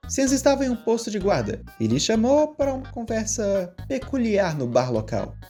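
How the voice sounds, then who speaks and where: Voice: medium pitch, huge pitch swings, moderate pace, dark tone. A narrator reading a scripted story in a storytelling style. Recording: music behind the voice.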